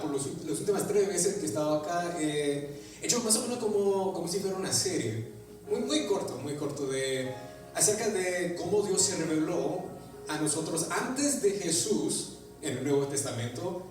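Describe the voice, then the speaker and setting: A man whose first language is English, preaching an animated sermon in a church, with an English-speaking accent and frequent restarts. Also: on the high side. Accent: English-speaking accent in Spanish. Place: church sanctuary. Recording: echoey church hall, distant mic, music behind.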